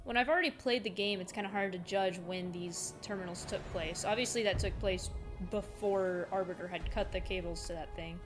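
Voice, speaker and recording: A woman's voice: medium pitch, fairly quick, music in the background. A woman giving casual, off-the-cuff reaction commentary. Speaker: reaction video host.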